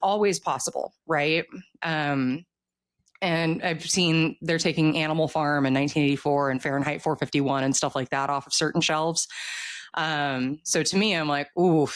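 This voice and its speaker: Low-voiced American woman chatting casually. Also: fairly even intonation, normal speed, no room sound. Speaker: author being interviewed. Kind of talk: casual interview chat.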